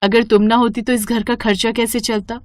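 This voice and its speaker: A woman voicing a gentle, caring and faithful wife in a cartoon moral story. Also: fairly high pitch, little pitch movement, moderate pace, close to an everyday voice. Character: gentle, faithful waiting wife and sister-in-law.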